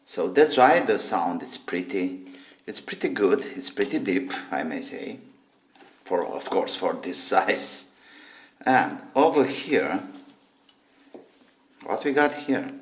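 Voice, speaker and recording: A man hosting a gadget teardown, giving a casual, unscripted demonstration and thinking aloud in halting, short phrases. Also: low-pitched. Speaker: host of a gadget teardown video.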